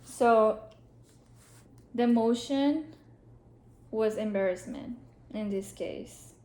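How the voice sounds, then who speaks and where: Slow, hesitant woman playing a counselor. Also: fairly high pitch. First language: Spanish. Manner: hesitant, many ums, gentle questioning. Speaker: counselor in role play. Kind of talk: role-played CBT therapy session. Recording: roomy echo.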